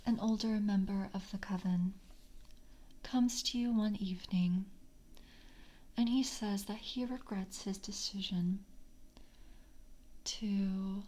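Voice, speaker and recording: Soft half-whispering woman as a jaded vampire. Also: mid-range voice. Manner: measured, gentle, officially polite. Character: calm, jaded female vampire coven screener. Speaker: ASMR roleplay performer. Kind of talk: soft-spoken ASMR roleplay.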